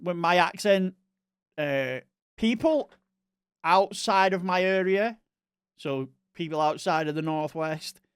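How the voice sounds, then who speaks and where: A man's voice: high-pitched, slow, pauses often, clear, resonant tone, no room sound, clean studio sound. A man with a Northwest English accent, a mix of Manchester and Liverpool, chatting casually on a live stream, rambling and correcting himself as he reads viewer messages.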